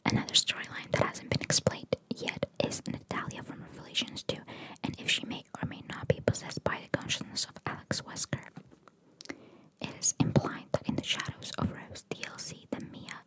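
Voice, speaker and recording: A woman whispering in a breathy voice, reading trivia for ASMR and adding chatty, informal asides. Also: moderate pace, low-quality recording. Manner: informal, chatty, reacting to what is read. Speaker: ASMR host reading video game trivia.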